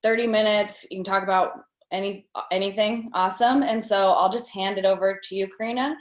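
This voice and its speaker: A woman's voice: medium pitch, steady tone, fairly quick. A woman hosting an online event in a casual, informal and easygoing chat style. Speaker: event host. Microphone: video call. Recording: roomy, echoing video call.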